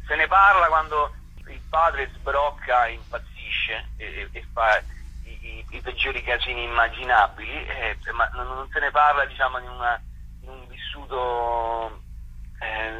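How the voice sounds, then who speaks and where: Husky, very sharp-voiced man, halting. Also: medium pitch, rough recording. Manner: halting, stammering over repeated words. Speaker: playwright and performer, interview guest. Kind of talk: casual spoken interview.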